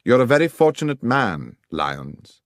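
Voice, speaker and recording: A man voicing a brisk, coolly confident Victorian detective with an English accent, precise and authoritative, in an audiobook. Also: mid-range voice, very animated, no room sound, clean studio sound. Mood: explaining, confident. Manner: precise, authoritative, methodical questioning. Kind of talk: audiobook character dialogue.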